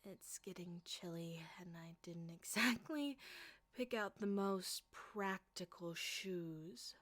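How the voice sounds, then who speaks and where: Soft-spoken teasing woman, slow and close. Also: fairly low pitch, expressive, dry recording. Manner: playful, teasing, often whispering close to the mic. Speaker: voice actress performing an audio roleplay. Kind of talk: flirty ASMR roleplay.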